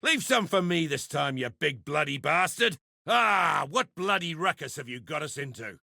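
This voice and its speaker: A brash pirate brawler from a video game who revels in violence. His gravelly, fairly high voice is sharp and forceful, taunting with rowdy swagger. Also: expressive, normal speed, no room sound, studio quality, full character voice. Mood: rowdy, aggressive. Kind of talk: video game combat barks.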